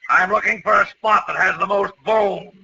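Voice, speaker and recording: An actor playing a blustering, hot-tempered father in a 1940s radio comedy, with a gravelly, theatrical delivery. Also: sits high, steady tone, moderate pace. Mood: blustering, threatening. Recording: bright, narrow, noisy old radio recording.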